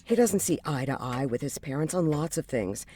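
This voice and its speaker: A woman's voice: low voice, animated, normal speed, mellow, a little hiss or hum. A woman playing an outspoken, defensive young therapy client in an audio drama, with a frank, sardonic manner. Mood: defensive, guarded.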